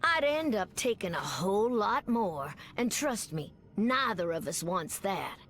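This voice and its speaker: An actress playing a sassy, confident Southern American mutant superheroine, trading folksy, drawling banter in a video game. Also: female voice, medium pitch, big pitch swings, a little slow, fairly bright tone, slight background noise. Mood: warning. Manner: drawling folksy banter, calls people sugar. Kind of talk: video game character dialogue.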